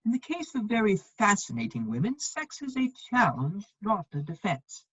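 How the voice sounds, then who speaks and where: A woman playing a witty, aloof upper-class gentleman in a dramatic reading of a period comedy, husky-voiced, with a dry, measured, cutting delivery. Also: mid-range voice, sing-song, dry recording, muffled recording.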